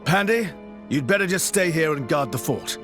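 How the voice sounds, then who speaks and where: A man's voice: a little high, animated, moderate pace, over music, close to an everyday voice. A man playing a cocky, dramatic, protective young swordsman in a fantasy video game. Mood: firm, protective.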